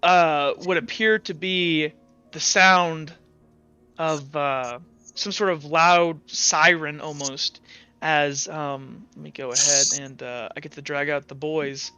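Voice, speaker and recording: Bright-voiced man running a tabletop game. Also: a little high, steady tone, clear, resonant tone. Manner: halting, repeats words while describing. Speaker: game master. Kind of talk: improvised tabletop game narration. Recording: dull audio, faint background music.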